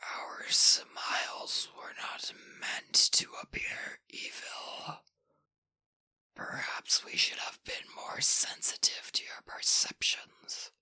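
A man voicing an ancient gray Martian creature in a slow, solemn, rasping whisper. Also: sharp tone. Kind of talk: audiobook character voice.

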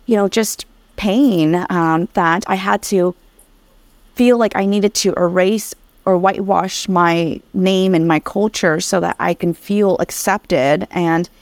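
A woman's voice: on the low side, fairly even intonation, takes it slowly, no room sound. A woman from Vietnam speaking earnestly and reflectively as an interview guest about personal experience. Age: adult. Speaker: interview guest, career coach.

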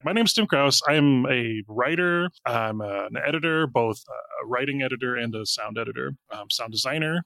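A man's voice: mid-range voice, normal speed, vocal fry, hardly any pauses, sharp tone, dry recording. An American man chatting casually as an interview guest, a writer talking about his work. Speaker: interview guest, writer and audio drama director.

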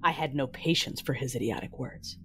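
A woman playing a vengeful, grieving Sith ruler, narrating an audio drama in the first person in a measured, solemn, menacing way. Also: rumbling low, slight background noise. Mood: cold fury. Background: music.

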